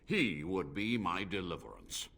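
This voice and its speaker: An actor playing a frail, ancient, haughty mage in a fantasy video game, imperious and formal, with a forceful theatrical delivery. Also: male voice, low-pitched, sing-song, measured pace, vocal fry, breathy edge, full character voice. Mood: wry, reminiscing. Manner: imperious, archaic formal phrasing.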